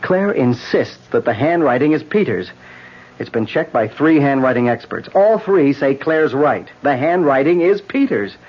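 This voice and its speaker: A man's voice: medium pitch, animated, moderate pace, natural voice. An actor playing a worried, determined man who questions earnestly and insistently, in a 1970s radio drama. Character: worried, determined man protecting his wife. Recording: dull lo-fi old radio recording.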